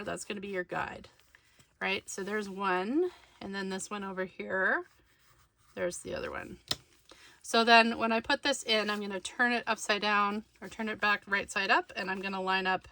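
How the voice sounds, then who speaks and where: Bright-voiced woman narrating a craft tutorial. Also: medium pitch. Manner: informal, thinking aloud while working.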